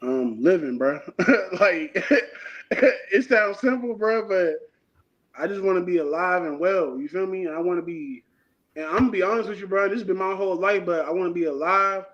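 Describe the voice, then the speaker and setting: Brief: man in his thirties, interview guest, relaxed and slangy, highish voice, muffled lo-fi sound. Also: moderate pace. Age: 30s. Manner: laid-back, rambling, slangy. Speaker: guest artist. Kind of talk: casual interview talk. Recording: dull lo-fi sound.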